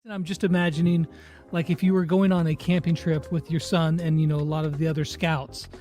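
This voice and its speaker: A man's voice: a little high, flat delivery, a little fast, dark tone, soft background music. A man hosting a casual interview, chatting in a slangy, enthusiastic way. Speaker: interview host.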